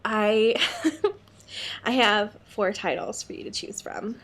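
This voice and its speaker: A woman's voice: mid-range voice, little pitch movement, takes it slowly, a bit bright. A young adult woman co-hosting a podcast in casual, comedic chat, with a jokey, playful, slangy manner and easy swearing. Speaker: podcast co-host.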